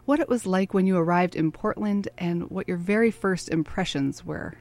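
A woman hosting a conversational interview, welcoming and curious, putting clearly phrased questions to her guest. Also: on the low side, normal speed, a little mellow. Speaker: interviewer, host.

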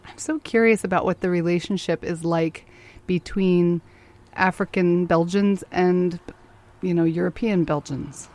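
A woman's voice: fairly low pitch, fairly even intonation, measured pace, a little mellow. A woman hosting a radio interview program, moving between scripted introduction and curious follow-up questions.

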